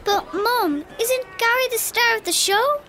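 A woman's voice: extremely high pitch, unhurried, full character voice. A woman voicing an eager, outspoken little girl in a cartoon. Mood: worried. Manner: excited, outspoken. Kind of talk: cartoon voice acting.

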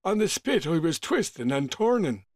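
A man's voice: very animated, moderate pace. A man voicing a timid young boy cook in a rhyming storybook tale. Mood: pleading. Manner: high, earnest pleading.

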